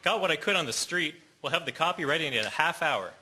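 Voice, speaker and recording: A man playing a persistent, dutiful small-town newspaper reporter in a radio drama, brisk and earnest. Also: a little high, lively intonation, heavy background noise, lightly acted. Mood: businesslike. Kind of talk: amateur radio drama acting.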